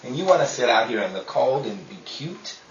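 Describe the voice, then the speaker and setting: A man's voice: mid-range voice, sing-song, brisk, close to an everyday voice. A man playing a grumpy, sarcastic, unromantic homebody husband in a 1930s-style radio drama, with dry exasperation. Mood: exasperated. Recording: dull, roomy, distant, with faint noise.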